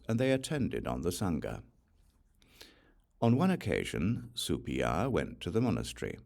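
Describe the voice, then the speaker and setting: A man reading a religious text as scripted audiobook narration in a measured, deliberate way. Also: extremely low pitch, vocal fry, darkish tone. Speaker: audiobook reader.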